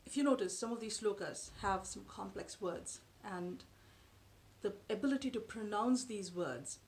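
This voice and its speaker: A woman in her thirties whose first language is Tamil, talking to camera from a sofa in her living room in a measured, thoughtful way with frequent pauses. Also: medium pitch, a little mellow, slight background noise. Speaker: vlogger. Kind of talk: explanatory talk to camera. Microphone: camera in front at close range.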